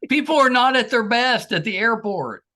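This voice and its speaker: A man hosts a casual interview. His voice is bright and forward, with lively swings in pitch. He puts questions and remarks in an informal, conversational way. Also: moderate pace. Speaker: podcast interviewer.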